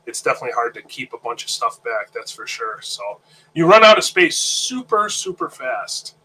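A man's voice: medium pitch, sing-song, normal speed, bright, forceful tone, husky, rough recording. A man hosting a casual live show, sounding nasal while getting over an illness, easygoing and repeating words as he thinks. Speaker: host of a live reptile-hobby show. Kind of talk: casual live-stream chat.

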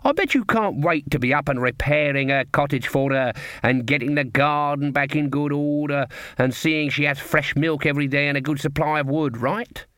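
A man's voice: fairly high pitch, moderate pace, a bit bright, no echo, lightly acted. A man reading a story, voicing a shrewd old witch woman who talks in a sing-song, falsely innocent country dialect. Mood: quietly menacing.

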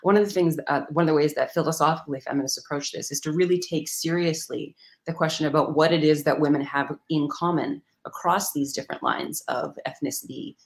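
A woman author explaining ideas in an interview, talking quickly with lots of hedging and restarts. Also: low-pitched, steady tone. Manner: rapid, hedging, many restarts. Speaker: guest author.

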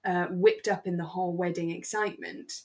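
A woman's voice: fairly low pitch, steady tone, normal speed. A woman co-hosting a podcast in casual, conversational chat. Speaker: podcast co-host. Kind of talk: casual chat about a radio drama.

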